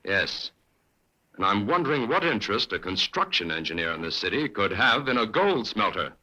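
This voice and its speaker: An actor playing a calm, polite detective who asks probing questions in an old radio drama. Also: male voice, on the low side, moderate pace, slight background noise, full character voice, low-quality recording. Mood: thoughtful. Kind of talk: 1940s radio acting.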